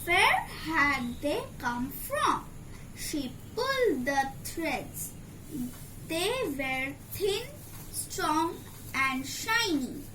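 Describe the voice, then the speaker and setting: A woman reading a children's story aloud in a deliberate, phrase-by-phrase storytelling delivery. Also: high voice, very animated, pushed and bright. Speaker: storybook reader. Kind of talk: scripted storybook narration for children. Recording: clearly noisy amateur recording.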